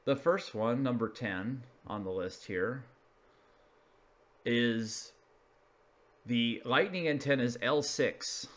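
A man's voice: mid-range voice, fairly bright tone. A man presenting an informal narrated slideshow, explaining each item in a matter-of-fact way. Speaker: video presenter.

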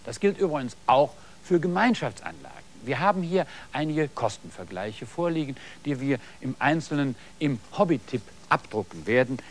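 A middle-aged man presents a TV hobby programme from a studio garden set. He talks straight to the viewers in a didactic, explanatory way. His voice sits at an ordinary pitch and is picked up by a lapel mic. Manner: didactic, addresses viewers directly. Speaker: TV host.

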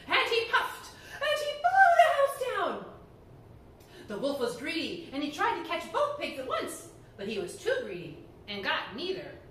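Echoey, high-voiced woman telling children's stories. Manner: theatrical, expressive, emphatic delivery. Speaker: library storyteller, reader. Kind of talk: animated read-aloud storytelling for children. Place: indoor room before folding screen. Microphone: camera microphone a few metres away. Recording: echoey room, distant mic, background noise.

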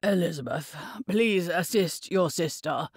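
A woman's voice: lowish voice, measured pace, breathy edge, no echo, studio quality. A woman voicing an older father in an audiobook, formal, shaken and scolding. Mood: strained.

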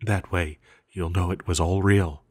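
A man playing an ancient banished villain in an intimate roleplay audio, seductive, possessive and scheming, with teasing, lingering pauses. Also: low voice, steady tone, husky. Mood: urgent, possessive.

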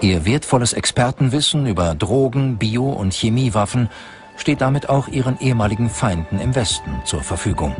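A man's voice: mid-range voice, lively intonation, normal speed, music in the background. A man reading scripted documentary narration in a sober, matter-of-fact manner.

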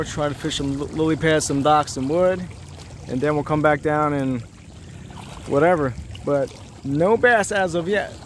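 Chatty man fishing from a boat. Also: a little high. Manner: excited, chatty, talks to the camera. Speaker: fishing vlogger. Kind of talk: casual narration while fishing. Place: small boat on a lake outdoors. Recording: faint outdoor noise.

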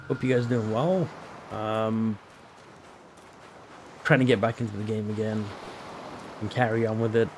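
A man with a mid-range voice chats his way through a game. His throat is sore and a little hoarse, and he keeps an easy, thinking-aloud manner. A faint hiss of background noise sits under him. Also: mid-range voice. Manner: relaxed, chatty, thinking aloud. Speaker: gaming channel host. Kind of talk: casual gameplay commentary.

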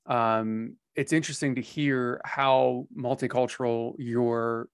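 A man's voice: medium pitch, little pitch movement, takes it slowly, clean tone, no echo. A man in his forties from the United States hosting a podcast interview over video, talking in a rambling, hesitant way full of "you know" and "um", on a close headset mic. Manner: rambling, hesitant, many fillers. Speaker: podcast host. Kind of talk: casual interview conversation. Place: small home studio room.

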